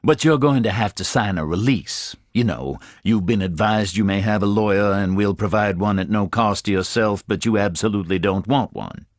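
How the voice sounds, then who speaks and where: A man reading a novel aloud voices a formal, precise detective captain with a deep, rumbling voice. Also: moderate pace, few pauses, no room sound, studio quality, close to an everyday voice.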